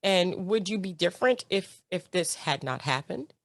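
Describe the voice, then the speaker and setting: A woman's voice: fairly low pitch, lively intonation, normal speed, no room sound, clean studio sound. A woman hosting a radio interview show, effusive and enthusiastic as she asks her questions.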